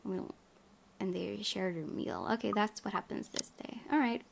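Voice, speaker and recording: A woman hosting a gaming video, reading story text aloud and chatting informally about it with expressive reactions. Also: mid-range voice, normal speed, creaky, slight background noise. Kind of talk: reading a game's narration aloud with casual commentary.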